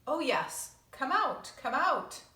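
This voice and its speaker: A woman voicing a gentle, courteous male giant panda from a storybook, in a polite and welcoming manner. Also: high voice, sing-song, takes it slowly, voice pushed up, full character voice. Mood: warm, welcoming. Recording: roomy echo, distant microphone.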